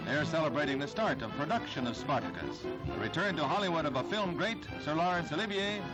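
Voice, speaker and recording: A man's voice: on the high side, expressive, brisk, rough recording. A man narrating a 1960s newsreel in a formal, declamatory announcer's delivery, reading from a script. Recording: vintage newsreel soundtrack with music.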